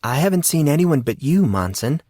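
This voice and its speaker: A man voicing a gentle, puzzled teenage schoolgirl with soft, questioning concern in an audiobook. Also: on the high side, sing-song, moderate pace, no echo, studio quality, pitched above the performer's own voice.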